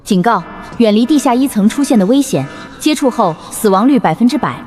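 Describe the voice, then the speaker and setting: A woman's voice: fairly high pitch, expressive, brisk, darkish tone, soft background music, close to an everyday voice. Echoing female system announcer, voiced by an actress. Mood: solemn, impersonal. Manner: formal, declarative. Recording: long hall reverb.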